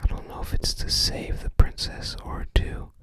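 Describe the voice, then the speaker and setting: An ASMR creator whispering casual, musing commentary on a game guide, often tentative while recalling details. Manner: unhurried, musing, tentative recollection.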